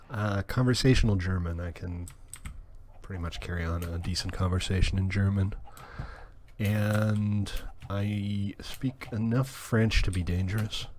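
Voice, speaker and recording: A man's voice: on the low side, slight background noise. A man streaming a video game, chatting casually and reading chat aloud in a rambling, wry way full of fillers. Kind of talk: casual live-stream chat while playing.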